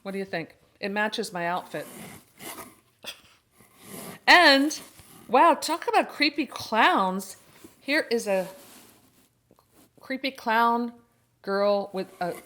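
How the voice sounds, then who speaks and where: A woman with a mid-pitched voice hosts a casual vlog. She talks in a chatty, expressive way, often exclaiming and thinking out loud as if to friends watching. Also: slight background noise. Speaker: host of a horror book channel.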